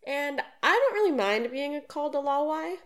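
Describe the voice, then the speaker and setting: A woman co-hosting a podcast, reading scripted explanations in a lively, explanatory way. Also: sits high, normal speed. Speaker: podcast co-host.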